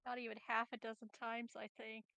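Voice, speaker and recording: A woman playing in a tabletop game, talking casually in a fairly high voice with noticeable creak. The sound is close and dry. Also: level tone, moderate pace, bright, forceful tone, no room sound, muffled recording. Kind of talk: casual chat.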